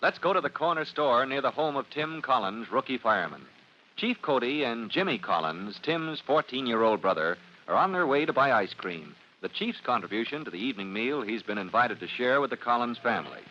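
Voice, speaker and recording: A man's voice: mid-range voice, sing-song, brisk, lightly acted. A man playing an earnest storytelling announcer, narrating a scripted 1950s radio drama. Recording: muffled, noisy old radio recording.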